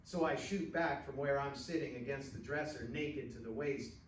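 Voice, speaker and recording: A man reading a first-person short story aloud in a dramatic, folksy storytelling manner, recorded at a distance in a roomy space. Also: medium pitch. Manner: folksy, unhurried cowboy storytelling. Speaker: actor reading fiction aloud. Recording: roomy, distant mic with faint noise.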